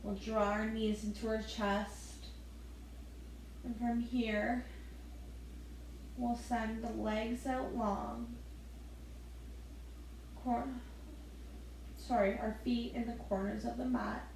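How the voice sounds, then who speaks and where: Slow, soft-spoken woman yoga teacher in an echoey room. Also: on the high side, flat delivery, a little hiss or hum. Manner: soft step-by-step breathing cues with light laughs. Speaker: yoga instructor. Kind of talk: guided gentle yoga class.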